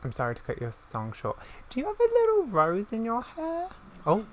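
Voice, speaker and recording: A woman's voice: a little high, wildly swooping pitch, normal speed, darkish tone. A woman doing chatty let's-play commentary, exclaiming and talking to the characters on screen. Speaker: gaming video creator. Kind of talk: let's-play commentary, reading game dialogue aloud. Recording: clear background noise with game ambience.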